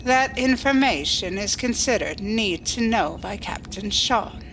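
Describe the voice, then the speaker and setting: A woman's voice: mid-range voice, animated, a little slow, fairly bright tone, a little hiss or hum, low-quality recording. A woman playing a coolly logical alien starship officer, formal, precise and unemotional, in a science fiction audio drama. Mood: formal, detached.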